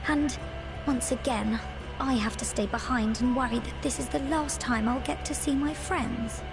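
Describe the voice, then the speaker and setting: A woman's voice: fairly high pitch, moderate pace, lightly acted. An actress playing a sweet, anxious young woman in a game cutscene, flustered and earnest. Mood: worried. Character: small, sweet, anxious young female friend left behind. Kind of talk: video game cutscene acting. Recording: music behind the voice.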